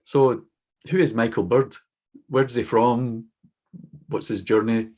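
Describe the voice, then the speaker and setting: A man's voice: medium pitch, fairly even intonation, slow, a little mellow. A man hosting a podcast, welcoming and encouraging his guest as he asks questions. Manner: friendly, encouraging interviewer. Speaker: podcast host. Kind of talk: casual interview conversation.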